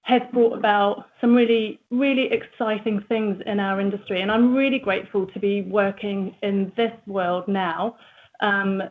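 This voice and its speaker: A woman's voice: medium pitch, fairly even intonation, normal speed. A middle-aged woman from New Zealand with a New Zealand accent, giving a chatty presentation. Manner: chatty, with fillers. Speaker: agency managing director. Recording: tinny phone-line audio.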